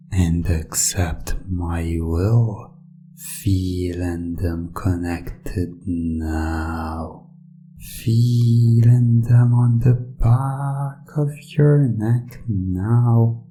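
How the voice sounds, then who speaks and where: A hypnotist delivering a scripted ASMR induction in a soft, soothing yet commanding way, with deliberate phrasing and breathy moments. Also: medium pitch. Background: soft plucked string music.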